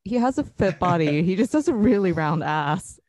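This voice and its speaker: A woman's voice: on the low side, lively intonation, moderate pace, darkish tone. Woman, podcast host, giggly, asks questions, casual chat.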